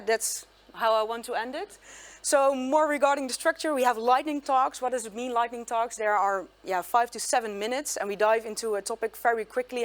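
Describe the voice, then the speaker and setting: A woman, an English speaker, hosting a design meetup and introducing speakers in a friendly, hesitant way with many pauses. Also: a little high. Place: meetup venue, hybrid event.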